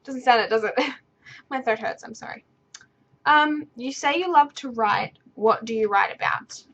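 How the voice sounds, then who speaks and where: A woman's voice: on the high side, a little slow, fairly bright tone. Stuttering young woman vlogger, dull audio. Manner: stutters and restarts, rambling and easily sidetracked. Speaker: vlogger answering viewer questions. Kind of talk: casual unscripted talk to camera. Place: her bedroom.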